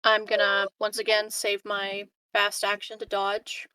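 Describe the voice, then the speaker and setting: A woman with a bright voice of medium pitch talks through her turn in a tabletop game. Her delivery is casual. The sound is close and dry. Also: completely flat delivery, a little slow, smooth, no echo, low-quality recording.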